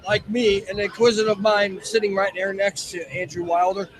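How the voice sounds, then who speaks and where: A man interviewing wrestlers at ringside, friendly and quick with affirming responses. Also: high-pitched, flat delivery, rough recording. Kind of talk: casual interview. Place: outdoors at a wrestling show. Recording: crowd noise at a live wrestling event.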